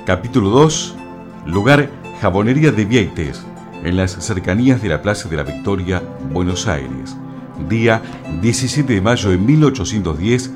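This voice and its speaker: Male announcer, low voice, solemn scripted narration over prominent guitar. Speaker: radio drama announcer. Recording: guitar music behind the voice. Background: plucked guitar music.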